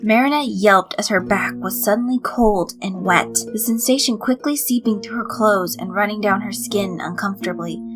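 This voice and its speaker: A woman reading a scripted story as narrator, in an expressive storytelling style. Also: mid-range voice, moderate pace, few pauses, poor audio. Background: light background music.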